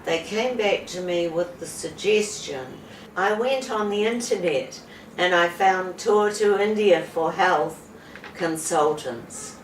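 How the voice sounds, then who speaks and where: A woman in her seventies from New Zealand, giving an unscripted testimonial to camera as a patient in an indoor room, speaking slowly with pauses and fillers. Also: on the low side. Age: 70s. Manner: slow, measured, with pauses and fillers. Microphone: camera mic from a few feet away. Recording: roomy, distant camera audio with background hiss.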